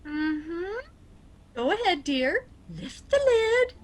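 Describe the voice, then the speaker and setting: An actress playing a sweet, doting elderly spinster aunt in an amateur audio drama. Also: female voice, very high voice, big pitch swings, very slow, heavily acted.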